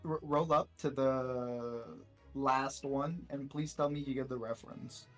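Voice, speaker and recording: A man's voice: medium pitch, steady tone, a little slow, a bit bright, soft background music. A man in casual banter as a game stream player, blunt, teasing and sweary.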